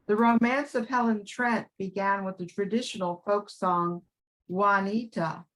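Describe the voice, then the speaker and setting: Slow-paced older American woman reminiscing. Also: medium pitch, steady tone, a bit bright, low-quality recording. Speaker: class member sharing radio memories. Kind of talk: prepared personal reminiscence, read aloud.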